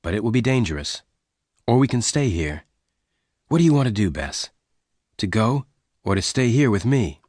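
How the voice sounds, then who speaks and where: A man voicing a strong young cowboy in an audiobook, gentle and devoted, speaking in a measured, earnest way. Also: on the low side, very animated, dry recording, clean studio sound. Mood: serious, earnest.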